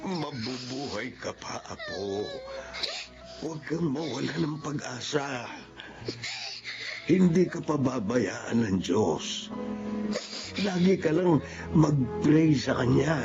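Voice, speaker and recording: A gravelly old grandfather consoles tenderly in a Filipino accent, halting and slow. His pitch swings widely, in radio drama style, over loud music. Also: huge pitch swings, exaggerated voice. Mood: soothing, moved. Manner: halting, soothing, devout. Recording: dull lo-fi audio under loud music.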